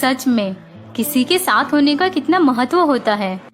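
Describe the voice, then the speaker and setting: A woman's voice: sits high, sing-song, unhurried, soft background music. A woman playing a timid, obedient young daughter-in-law in a cartoon story. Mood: gentle, hopeful. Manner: timid, submissive.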